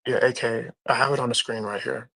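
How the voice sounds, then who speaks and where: A man's voice: medium pitch, steady tone, a little fast, gravelly, sharp tone, poor audio. A man in a casual live-stream debate, talking bluntly and challenging others. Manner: blunt, challenging, reads scripture aloud. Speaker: debate panelist.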